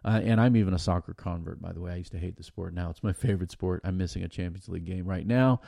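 Deep, gravelly American man podcasting. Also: fast talker, no echo, clean studio sound. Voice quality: loud, projecting voice. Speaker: podcast host. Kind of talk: casual conversational podcast discussion.

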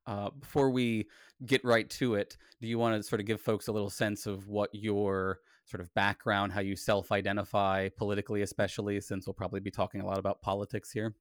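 Smooth-voiced male podcast host. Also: fairly low pitch, level tone, fairly quick, no room sound, clean studio sound. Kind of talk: casual interview.

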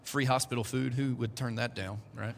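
A man's voice: medium pitch, fairly even intonation, normal speed, gravelly. A man, preaching a sermon to his congregation in a conversational way, with joking asides. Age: 40s. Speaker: pastor. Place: church hall. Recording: echoey church hall.

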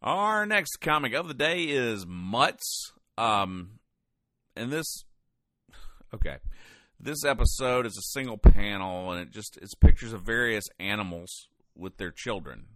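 A man hosting a podcast, casually reading out comic strips and explaining the jokes in a deadpan way. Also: fairly low pitch, unhurried, dry recording. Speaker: podcast host.

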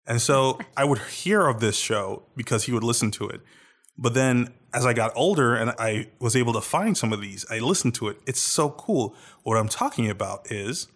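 A man's voice: medium pitch, expressive, normal speed, fairly bright tone. Enthusiastic chatty male podcast host. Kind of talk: casual chat.

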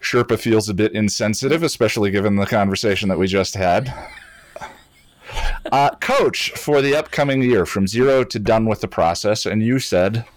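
Low-voiced man chatting on a podcast. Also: normal speed, no room sound. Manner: self-deprecating, hesitant phrasing. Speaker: podcast and channel host. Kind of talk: casual podcast conversation.

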